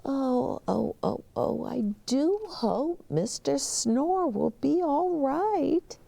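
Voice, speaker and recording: A woman's voice: high voice, animated, extremely slow, gravelly, mellow, dry recording, pitched above the performer's own voice, heavily acted. A woman voicing a nervous, apologetic hotel bellhop in a children's storybook, hesitant and stammering. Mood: worried. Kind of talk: children's storybook read-aloud.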